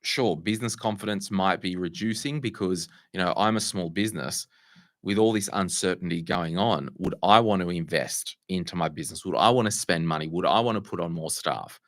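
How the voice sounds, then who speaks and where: A middle-aged Australian man with a croaky voice, hosting a casual Q&A and answering viewers' money questions with asides and restarts. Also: sits low, a little fast, a bit bright, no echo, studio quality. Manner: conversational, restarts and asides while fixing tech. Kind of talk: casual livestream Q&A.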